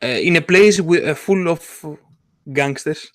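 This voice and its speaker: Man, casual chat, halting, restarts, fairly high voice, dry recording. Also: fairly bright tone, no echo. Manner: halting, many restarts and fillers.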